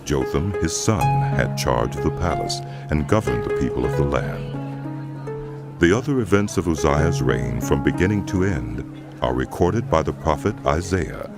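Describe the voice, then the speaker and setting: A man reading scripture as the solemn, measured narrator of a dramatized audio Bible. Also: very deep voice.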